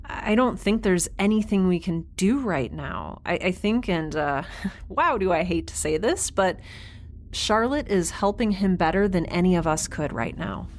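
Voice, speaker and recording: A woman's voice: on the low side, expressive, unhurried, a little hiss or hum. A woman playing an earnest young ship's medic in an audio drama, hesitant and rambling as she thinks aloud. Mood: thoughtful. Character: X, earnest young woman, improvised ship medic, awkwardly reassuring.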